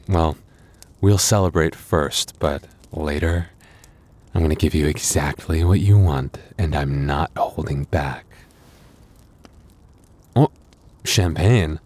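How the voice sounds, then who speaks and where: A man playing a very deep-voiced werewolf boyfriend. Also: heavily acted. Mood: tender, eager, then happy, affectionate.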